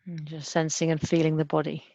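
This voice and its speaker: A woman leading a guided body scan meditation as a mindfulness teacher, speaking gently and unhurriedly with long pauses. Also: deep voice, monotone, creaky, dry recording. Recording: dull, muffled lo-fi sound.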